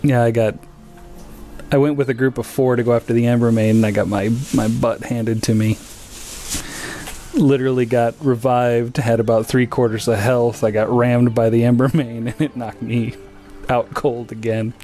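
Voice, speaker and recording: A man chatting casually and a little haltingly as he streams a video game. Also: mid-range voice, little pitch movement, warm tone, soft background music. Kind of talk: casual gaming livestream chat.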